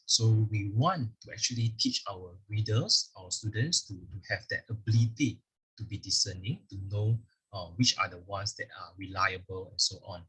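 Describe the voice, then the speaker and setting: A low-voiced man with a Singaporean English accent walks an online audience through a school curriculum. His voice is very husky and breathy. He explains step by step, filling pauses with "uh" and "actually". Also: fairly bright tone, poor audio. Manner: explanatory, leans on "actually" and fillers. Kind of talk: virtual curriculum presentation with slides. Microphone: video call microphone.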